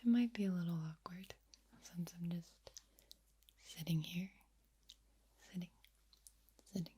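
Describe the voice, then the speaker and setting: A woman speaking softly in a breathy ASMR whisper, close to the mic from ear to ear, lingering on and repeating words. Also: fairly low pitch, a little slow. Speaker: ASMR video creator. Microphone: close microphone, speaking ear to ear.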